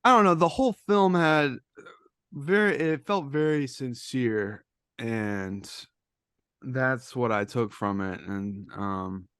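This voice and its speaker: Guy with a gravelly fry voice just chatting casually on a podcast, really dry sound. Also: mid-range voice, takes it slowly, fairly bright tone, no echo. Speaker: podcast co-host. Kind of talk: casual anecdotal chat.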